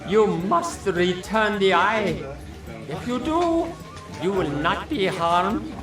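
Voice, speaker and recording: An actor playing a solemn, threatening cultist leader in a radio theater drama, speaking in drawn-out, ominous pronouncements. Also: male voice, high-pitched, very animated, crowd noise, full character voice. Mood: solemn menace. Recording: echoing, distant voice over music.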